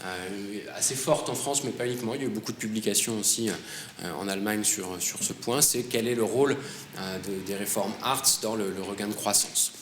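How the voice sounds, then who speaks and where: Hesitant low-voiced man in a roomy hall. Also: brisk, a bit bright. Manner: hesitant, many fillers and restarts. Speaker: award-winning author. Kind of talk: panel presentation. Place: conference hall. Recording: roomy hall with faint noise.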